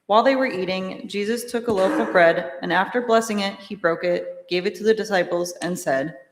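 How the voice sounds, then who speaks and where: A woman narrating a dramatic scripture reading in a measured, formal manner. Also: mid-range voice, slight background noise. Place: large church during a service. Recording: echoey church, long reverb.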